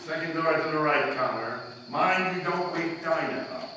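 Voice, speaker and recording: A man playing a suave, sardonic upper-class gentleman in a live old-time radio play, wry and coolly commanding. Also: fairly high pitch, normal speed. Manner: wry, mock-casual, crisp commands. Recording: echoey live stage, distant mic, faint noise.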